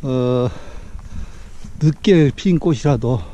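A middle-aged Korean-accented man talks calmly along a mountain trail, his voice mellow and unhurried. He leaves long pauses as he walks. A faint hiss of outdoor air sits under an action-camera recording. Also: medium pitch, dull audio. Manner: calm, measured commentary with drawn-out pauses. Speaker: hiking vlogger. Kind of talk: on-the-trail vlog narration.

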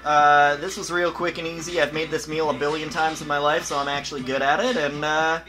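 A man's voice: fairly high pitch, little pitch movement, fairly quick, pushed and bright, low-quality recording. A man in his twenties hosting a casual comedic cooking vlog, talking in a slangy, profane, self-mocking way. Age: 20s. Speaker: host of a home cooking show. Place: small home kitchen indoors. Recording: music playing behind, food frying. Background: music, sizzling pan.

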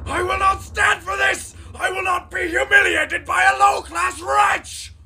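High, gravelly, arrogant alien villain. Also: male voice, level tone, a little slow, bright, forceful tone, raised voice, full character voice. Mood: furious. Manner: haughty, sneering, sarcastic. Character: arrogant, hot-tempered alien warrior prince villain. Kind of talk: exaggerated anime parody dub acting.

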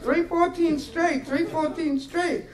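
Storytime parrot squawking way up high, slow n repetitive, roomy sound. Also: male voice, steady tone. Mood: screeching. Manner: repetitive, drawn-out screaming. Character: caged parrot screaming betting numbers.

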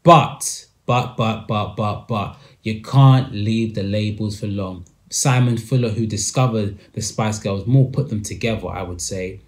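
Animated young man commenting. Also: lowish voice, a little slow, hardly any pauses. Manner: animated, emphatic with hand gestures. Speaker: podcast host. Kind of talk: commentary to camera. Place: small room indoors.

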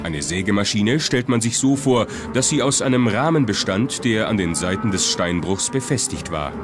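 Low-voiced documentary narrator, man. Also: lively intonation, normal speed. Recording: music behind the voice. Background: music.

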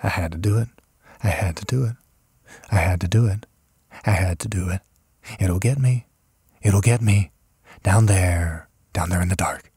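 A man's voice: sits low, moderate pace, long pauses, a little mellow, breathy edge, no echo, clean studio sound, heavily acted. A terrified, raving madman. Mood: desperate pleading. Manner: feverish, repetitive, broken phrases. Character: terror-stricken man raving in madness about cosmic horrors.

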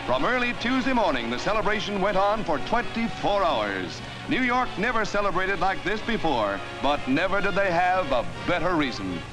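A man's voice: high voice, big pitch swings, normal speed, hardly any pauses, poor audio. A man narrating a 1940s newsreel in an exuberant, dramatic announcer's delivery. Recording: old newsreel soundtrack with music.

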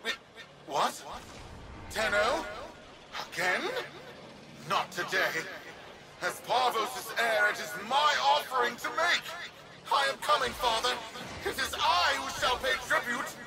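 A man's voice: very high voice, slow, pauses often, over music. An actor playing a pompous, scheming zealot tycoon who craves his father's approval, declaiming grandly in a video game. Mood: indignant, urgent.

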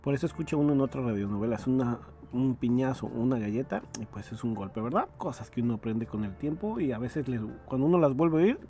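Male presenter, informal and conversational, dark mellow tone, music underneath. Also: mid-range voice, lively intonation, fast talker, few pauses. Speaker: host presenting old radio dramas. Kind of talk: casual chat to listeners.

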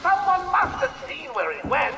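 An actor playing a menacing male villain in a dark superhero video game, in a dramatic style. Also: extremely high pitch, wildly swooping pitch, moderate pace, gravelly, a crowd in the background, full character voice. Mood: taunting.

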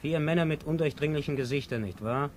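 A man's voice: a little high, fast talker, close to an everyday voice. An actor playing an impatient grandson who cuts his grandfather short with curt remarks. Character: impatient young grandson, dismissive. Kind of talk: 1950s radio play acting. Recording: faint hiss of old radio tape.

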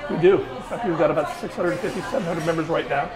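A middle-aged man, a winery owner, answering interview questions in a relaxed, chatty way with many small pauses, on a lapel mic in an indoor tasting room. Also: fairly high pitch. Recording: lapel mic with busy crowd noise behind. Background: crowd chatter.

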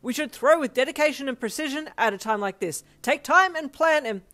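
A woman voicing a formal, overly precise teenage boy who calls out instructions loudly and urgently in a story. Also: sits high, expressive, fairly quick, voice pushed up. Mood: frantic.

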